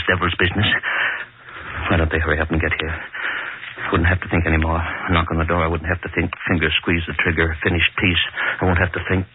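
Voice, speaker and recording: Male, grim prison hangman, very deep, monotone, muttering, haunted, vintage radio horror, narrow-band sound. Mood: grim gloating. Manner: muttering, terse repeated fragments. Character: morbid, brooding male prison hangman confessing his guilt. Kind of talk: 1940s radio horror acting, haunted narration. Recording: noisy, narrow-band 1940s radio recording.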